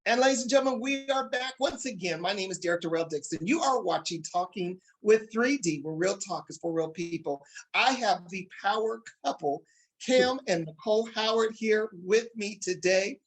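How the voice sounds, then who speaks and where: A man's voice: sits high, moderate pace, rough recording. A man hosting a talk show, interviewing guests and addressing viewers with showman phrases and slogans.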